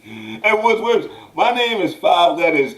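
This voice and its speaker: Animated, jokey man co-host. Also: high-pitched, very noisy. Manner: animated, jokey, quick to laugh along. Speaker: podcast co-host. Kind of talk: casual chat.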